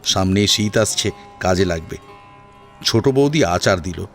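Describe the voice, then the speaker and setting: Guy narrating an audio story, kinda low voice, very animated, faint music under him. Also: unhurried. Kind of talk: dramatic scripted narration.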